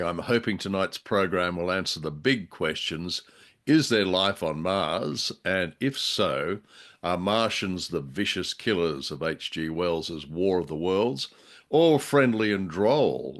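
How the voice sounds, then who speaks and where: A man's voice: low voice, fairly bright tone. A man hosting a show with a chatty, scripted introduction. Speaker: show host.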